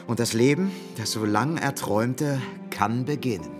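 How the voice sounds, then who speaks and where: An actor playing an ambitious, purposeful young law student in a German radio play. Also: male voice, mid-range voice, moderate pace, lightly acted. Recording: music under the voice.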